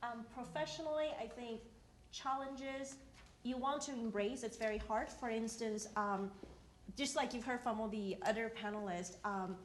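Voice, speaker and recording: A woman from Taiwan, answering on a panel in a measured, reflective way. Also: fairly high pitch, echoey room, slight background noise. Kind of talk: panel discussion.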